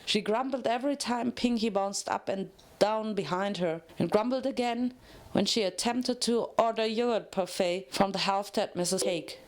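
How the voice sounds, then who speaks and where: A woman's voice: medium pitch, normal speed. A woman reading a story aloud as narrator, in a dramatic scripted style.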